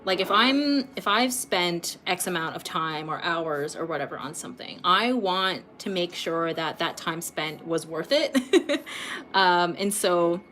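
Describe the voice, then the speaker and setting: A woman in her late twenties from Canada, chatting casually with viewers while painting on a livestream, rambling a little and filling pauses with ums. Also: lowish voice, lively intonation, bright, forceful tone, slight background noise. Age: late 20s. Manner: chatty, rambling, laughs often, many ums. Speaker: art livestream host.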